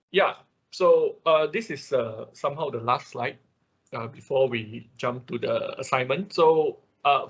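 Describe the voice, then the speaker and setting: Husky-voiced man teaching an online class. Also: mid-range voice, fairly bright tone. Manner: halting explanations with repetition and check-in tags. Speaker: workshop instructor. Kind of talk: informal online technical lesson. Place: online class over a computer. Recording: dull lo-fi online call audio.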